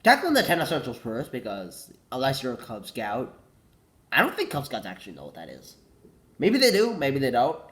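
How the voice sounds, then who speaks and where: A man's voice: medium pitch, huge pitch swings, normal speed, fairly bright tone. Congested young man rambling casually. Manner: rambling, self-correcting, says "like" a lot. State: congested with a cold, coughing. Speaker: vlogger.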